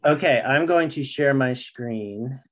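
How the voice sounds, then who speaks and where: A middle-aged man speaks as a guest on a video-call interview. His voice sits at an average pitch, and he talks informally, like a writer explaining his work. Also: slow. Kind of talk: casual interview chat. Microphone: video call microphone.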